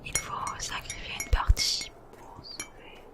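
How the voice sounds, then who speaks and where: A woman whispering gently and unhurriedly into a close microphone as ASMR game commentary. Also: slow. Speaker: video creator.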